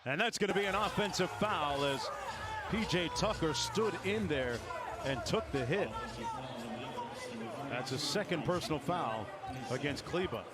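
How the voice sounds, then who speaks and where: A man's voice: on the high side, expressive, measured pace, pauses often. A man doing polished, emphatic play-by-play commentary for a basketball broadcast. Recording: loud arena noise, bouncing ball behind. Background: game ambience and bouncing basketball.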